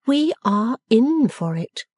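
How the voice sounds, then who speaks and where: Woman as a spirited, high-voiced young woman. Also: big pitch swings, a little mellow, no echo, studio quality, heavily acted. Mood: playful, urging. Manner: brisk, coaxing, decided.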